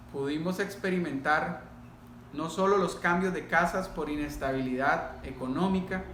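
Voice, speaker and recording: Echoey middle-aged Costa Rican man, higher-pitched voice. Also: fairly bright tone. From Costa Rica. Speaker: pastor. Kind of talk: personal testimony told to a congregation. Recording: echoey room, faint background noise.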